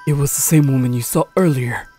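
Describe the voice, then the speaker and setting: A stern old village grandfather, played by a man in a horror audio drama. His voice is mellow and mid-pitched, with solemn, deliberate delivery over faint music. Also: close to an everyday voice. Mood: grave.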